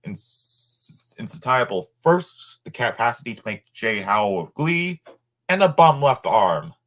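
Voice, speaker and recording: A man reading a nonfiction book aloud informally, sometimes halting over words and adding asides. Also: medium pitch, big pitch swings, fairly bright tone.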